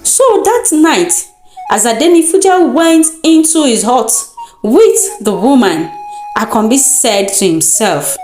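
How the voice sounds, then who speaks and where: A woman with a Nigerian accent narrates a folktale as a storyteller, in a deliberate, dramatic and expressive manner. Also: fairly high pitch. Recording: roomy echo with music underneath. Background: music.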